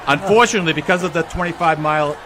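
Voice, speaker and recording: A man's voice: a little high, very fast. A man giving joking, mock-serious live radio commentary as host of an outdoor parade broadcast. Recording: noisy outdoor street broadcast.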